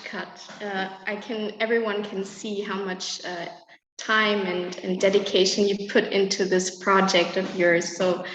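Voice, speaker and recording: A woman hosting a ceremony on a video call, speaking in an emotional, effusive, halting way. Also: mid-range voice, a bit bright, slight background noise. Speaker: host. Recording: echoey, dull room sound.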